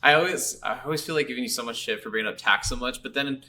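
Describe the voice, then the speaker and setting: A man co-hosting a podcast in casual video game chat, agreeable and a little halting as he qualifies his points. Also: mid-range voice, pushed and bright. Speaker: podcast co-host.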